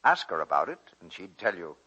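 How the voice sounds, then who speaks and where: A man announcing and pitching products in the polished salesman style of 1940s radio. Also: sing-song, a little fast, sharp tone, husky. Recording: dull, muffled vintage radio recording.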